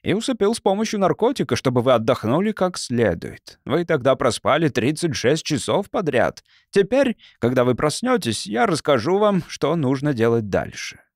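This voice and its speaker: A man reading an audiobook as a brisk, condescending mentor who explains things with some impatience. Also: fairly high pitch, sing-song, no room sound, clean studio sound. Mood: patient explaining. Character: brisk, patronizing male mentor who controls time gate.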